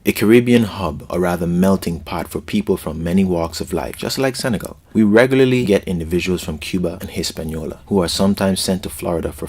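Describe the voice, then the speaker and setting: A man's voice: low voice, darkish tone, slightly rough. A man from the U.S. Virgin Islands reading a scripted, reflective travel narration for his vlog. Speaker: vlogger.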